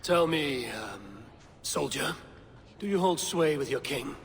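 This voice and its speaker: An actor playing a steady Viking warrior in a video game, with a measured and grave way of talking. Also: male voice, medium pitch, a little hiss or hum. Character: level-headed Viking warrior, firm negotiator. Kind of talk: dramatic video game acting. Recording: reverberant stone hall.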